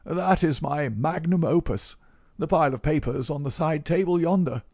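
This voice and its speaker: Man voicing a mincing old professor, raised pitch. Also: moderate pace, vocal fry, darkish tone, dry recording, studio quality. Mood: aggrieved, fussy. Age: older. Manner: mincing, precise, plaintive, ornate phrasing. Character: gaunt old male professor, bedridden, effusive bookworm.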